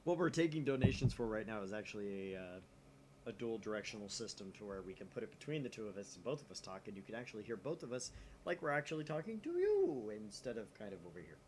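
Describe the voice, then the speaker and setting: A man reading a visual novel script aloud as a let's play host, with expressive delivery and casual asides. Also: lowish voice, quick pace. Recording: noisy room mic, slightly off to the side.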